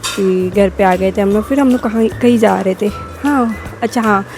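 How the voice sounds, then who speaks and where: Hoarse young woman narrating a vlog. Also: medium pitch, moderate pace, music in the background. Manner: rambling, self-correcting narration. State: sore throat, coughing. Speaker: vlogger. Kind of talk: casual vlog voice-over.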